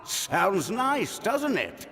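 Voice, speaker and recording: An actor voicing a jaunty, sardonic, world-weary older male villager in a video game, creaky and theatrical in delivery. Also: sits high, sing-song, drawn-out words, a bit bright, full character voice. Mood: scoffing. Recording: echoey hall reverb over music.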